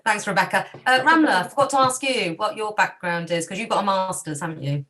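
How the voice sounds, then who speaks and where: A woman hosting a panel, moving it along with brisk, encouraging prompts. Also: mid-range voice, sharp tone. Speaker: host and moderator. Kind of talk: moderating a panel.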